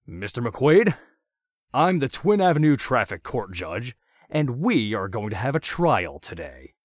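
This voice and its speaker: A man's voice: on the low side, huge pitch swings, a little slow, no room sound, studio quality, heavily acted. A man voicing a gleeful, sadistic male vigilante who taunts his captive and laughs often. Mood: mocking.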